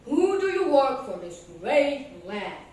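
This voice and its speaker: A woman's voice: high-pitched, big pitch swings, takes it slowly, a little mellow, heavy reverb, recorded from a distance, exaggerated voice. A woman voicing a gracious, generous king. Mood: curious.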